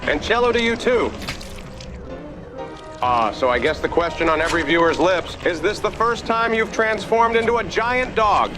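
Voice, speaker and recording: A man's voice: high voice, lively intonation, normal speed, music in the background. A man playing a brash, self-important figure in a motion comic, with pompous, theatrical delivery. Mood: showy, chatty. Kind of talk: animated motion comic acting.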